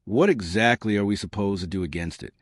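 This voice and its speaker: A man's voice: lowish voice, brisk, no room sound, studio quality, natural voice. Man voicing a nervous female weapons officer. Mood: nervous doubt. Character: nervous female lieutenant, starship weapons specialist.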